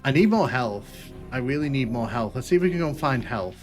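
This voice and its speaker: A man's voice: mid-range voice, expressive, brisk. A man from Northern England with a Manchester accent, chatting casually to viewers while he plays through a game on a live stream, rambling and correcting himself as he goes. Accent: Manchester English. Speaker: gaming streamer. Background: game music.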